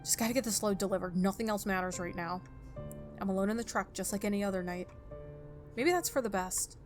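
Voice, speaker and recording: A woman's voice: medium pitch, a little fast. Woman playing a game over music. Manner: informal, expressive, thinking aloud. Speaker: gaming video host. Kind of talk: visual novel playthrough, reading aloud and commenting.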